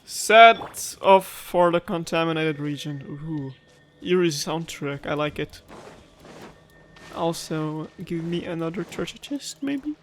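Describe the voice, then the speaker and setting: High-voiced man, slow casual gaming commentary. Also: expressive, a bit bright. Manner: rambling, musing aloud, playfully complaining. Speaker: gaming streamer. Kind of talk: casual let's-play commentary. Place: own room at home. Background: game music.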